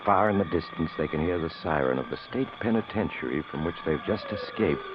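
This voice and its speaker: A man's voice: deep voice, animated, fairly quick. An American man narrating an old-time radio mystery in an ominous, dramatic manner. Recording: muffled, noisy old radio recording.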